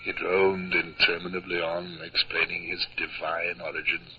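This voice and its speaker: Low-voiced British naval captain. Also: male voice, completely flat delivery, normal speed, slight background noise. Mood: grave, reflective. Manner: formal, clipped, literary. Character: reserved, dutiful British naval captain narrating his adventures. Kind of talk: 1950s radio drama narration and dialogue. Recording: dull old radio recording.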